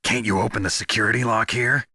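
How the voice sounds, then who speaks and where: A man playing a gruff, world-weary covert soldier in a video game, with a gravelly, raspy voice and terse, intense delivery. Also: lowish voice, fairly even intonation, fast, pushed and bright, no room sound, studio quality, heavily acted. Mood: urgent. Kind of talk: dramatic video game voice acting.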